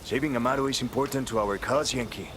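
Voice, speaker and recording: A man's voice: medium pitch, fast, close to an everyday voice. A man playing a proud, distrustful rebel leader, formal and accusing. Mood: urgent, stern. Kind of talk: dramatic game cutscene dialogue. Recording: clearly noisy background.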